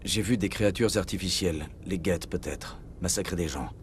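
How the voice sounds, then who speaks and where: An actor playing a steady, determined military commander in a video game, speaking in a serious, restrained way. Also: male voice, deep voice, normal speed, slight background noise, natural voice. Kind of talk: dramatic video game dialogue.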